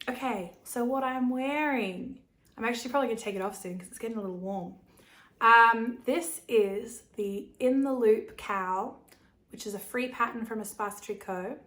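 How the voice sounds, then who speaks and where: A young woman with an Australian accent, hosting a knitting video podcast in a casual, bubbly chat, her voice a little croaky from laryngitis. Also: a little high. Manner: chatty, bubbly, many fillers and giggles.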